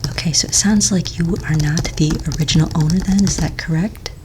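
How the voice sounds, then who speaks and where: A woman playing a patient, polite office manager in a soft-spoken ASMR roleplay, breathy and half-whispered, asking gentle official questions with small hesitations. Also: low voice, fairly quick, natural voice. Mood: patient, businesslike. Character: patient, polite female office manager registering fantasy pets. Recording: close mic, faint noise, keyboard clicks. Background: computer keyboard typing and clicks.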